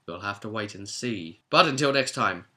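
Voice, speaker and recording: A man with a British accent hosting a casual spoken recap of a tabletop role-play session as game master, telling it like a chatty, animated storyteller. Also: on the low side, moderate pace.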